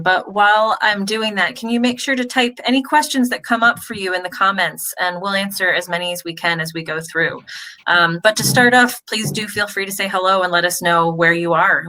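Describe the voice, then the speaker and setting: Woman host from the United States, friendly and upbeat livestream presenter, bright forward voice, slightly low pitch, heard over a video call. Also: poor audio. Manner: chatty and upbeat, with frequent fillers. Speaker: host of a university Q&A. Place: home in Wales.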